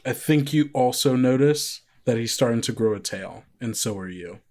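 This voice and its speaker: A man's voice: medium pitch, normal speed, darkish tone. A man running a tabletop roleplaying game, narrating in a casual, improvised, conversational way. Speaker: game master. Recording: guitar music playing behind the voice. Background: plucked guitar music.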